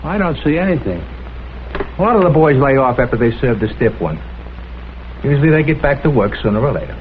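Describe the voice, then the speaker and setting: A blunt, wry police lieutenant acted by a man, full of doubt. His middle-pitched voice swings widely in a vintage crime drama style, through a tinny, narrow old recording. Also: expressive, a little fast, natural voice. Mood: dismissive. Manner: dry, blunt, skeptical. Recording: tinny old soundtrack with background hiss.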